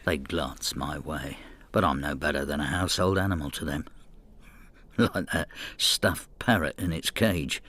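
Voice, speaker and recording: An actor playing a bitter, hard-drinking old husband in a radio drama, brooding and sardonic with a husky voice. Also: male voice, very deep voice, unhurried, sharp tone, a little hiss or hum, heavily acted. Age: older. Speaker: lead actor and narrator. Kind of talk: radio drama acting, confessional narration.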